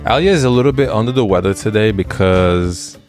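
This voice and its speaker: A man's voice: on the low side, fairly quick, a little mellow, soft background music. A man co-hosting a podcast, chatting in an upbeat, playful way. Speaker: podcast co-host. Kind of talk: casual chat.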